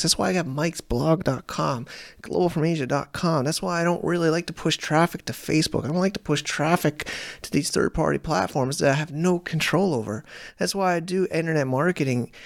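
A man's voice: on the high side, vocal fry. A man in his late thirties, an internet marketer, ranting in a casual vlog in an exasperated, repetitive way. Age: late 30s.

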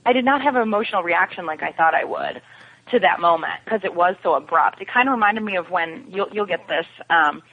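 A woman's voice: lowish voice, lively intonation, a little fast, a little hiss or hum. A woman giving her opinions in a casual, conversational TV discussion as a podcast guest. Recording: dull phone-like lo-fi connection.

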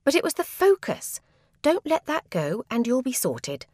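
A woman's voice: sits high, huge pitch swings, a little slow, a little mellow, no echo, clean studio sound, voice pushed up, full character voice. A woman voicing a breezy, pushy sister who gushes encouragement in storybook dialogue. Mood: gushing, encouraging. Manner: emphatic, gushing encouragement. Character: Louise, breezy, pushy sister preaching positive thinking.

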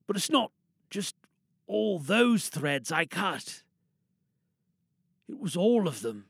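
A man acting a remorseful, guilt-laden confessor in an audio drama monologue, reflective and halting, with a creaky voice. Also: high-pitched, big pitch swings, a bit bright, dry recording, clean studio sound, heavily acted. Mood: remorseful, subdued. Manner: halting, reflective, plain-spoken. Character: remorseful man confessing to having taken a woman's life.

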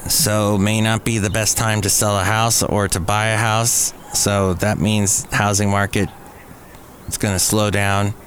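A man's voice: lowish voice, level tone, measured pace, a bit bright, a little hiss or hum. A man hosting a podcast, reading news items conversationally with casual, wry asides. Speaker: podcast host.

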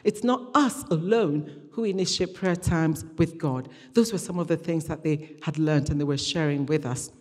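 A woman's voice: low voice, moderate pace, few pauses, a little mellow. A woman guest preacher giving a conversational, storytelling sermon, earnest and fond of repeating phrases and voicing dialogue. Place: large hall or church, preaching to congregation. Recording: reverberant hall sound.

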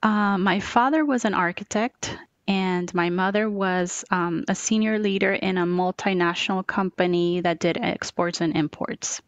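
A woman's voice: on the low side, steady tone, a little slow, dry recording, muffled recording. A middle-aged woman from Colombia answering interview questions earnestly, often repeating words and checking in with "right?". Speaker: interview guest.

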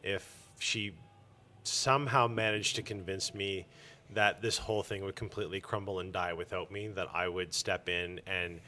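A man's voice: sits low, steady tone, moderate pace, bright, forceful tone, a little hiss or hum. A man giving long, emphatic explanations as a guest in a casual interview. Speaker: interview guest, comic shop owner.

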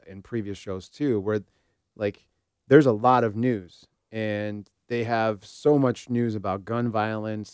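Male podcast host, low smooth voice, close dry sound, informal and meandering discussion style. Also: darkish tone, dry recording. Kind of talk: casual conversational discussion.

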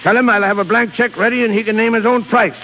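A man's voice: high-pitched, steady tone, quick pace, over loud music, lightly acted. An actor playing a crusty, blustering old shipping boss in his sixties, sputtering salty seafaring talk in 1930s radio style. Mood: scheming. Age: 60s. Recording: crackly, tinny 1930s radio broadcast.

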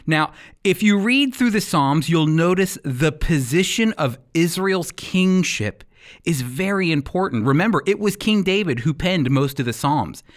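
Male radio Bible teacher, highish pitch, very varied intonation, explanatory and emphatic scripted delivery. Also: animated, a little slow.

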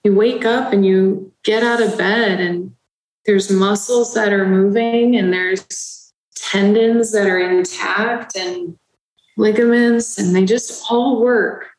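Woman, calm meditation guide, unhurried, gentle, steady pitch, roomy echo. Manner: slow, soft and soothing.

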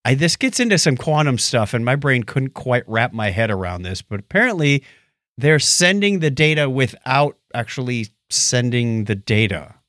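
A man's voice: medium pitch, animated, normal speed, a bit bright, dry recording, clean studio sound. A man co-hosting a podcast, chatting casually through science news with jokey, wry asides. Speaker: podcast co-host. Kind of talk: casual science news chat, paraphrasing articles.

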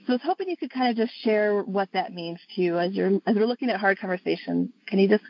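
A woman's voice: medium pitch, expressive, fast talker, dry recording. Woman podcast host, affirming, rambling questions, dull dry sound. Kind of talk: podcast interview.

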